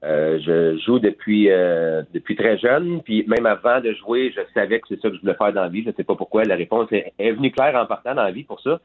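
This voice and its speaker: A man, a guitarist speaking relaxed and informally as an interview guest. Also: fairly low pitch, a little fast, few pauses. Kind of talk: casual interview chat. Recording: tinny phone line.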